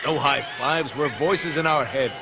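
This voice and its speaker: A man's voice: fairly high pitch, a little slow, a crowd in the background, background noise, lightly acted. An actor playing a dry, smug, rational male voice inside an antihero's head in a comic video game.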